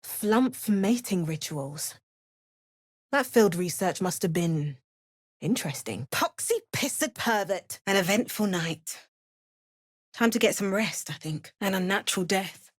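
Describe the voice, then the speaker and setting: A woman's voice: mid-range voice, expressive, measured pace, no echo. An actress playing a wry, battle-hardened young female adventurer in a fantasy video game, delivering dry asides. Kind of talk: video game character voice lines.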